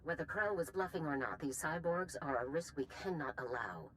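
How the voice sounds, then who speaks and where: Stern, commanding general, animated pitch. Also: female voice, a little fast, a little hiss or hum, poor audio. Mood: grave, commanding. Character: stern middle-aged female general, hard and unyielding. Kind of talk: scripted video game acting.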